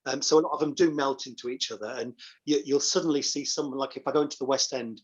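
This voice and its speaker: A man's voice: medium pitch, fairly even intonation, quick pace, highs cut off. A middle-aged or older man chatting casually and enthusiastically as an interview guest, repeating phrases for emphasis. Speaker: pantomime dame, interview guest. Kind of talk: casual chat.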